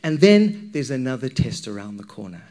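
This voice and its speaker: A man's voice: mid-range voice, big pitch swings, normal speed, dark tone. A man with a Zimbabwean accent preaching a sermon to a congregation in a conversational, questioning manner. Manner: conversational, engages listeners with questions and anecdotes.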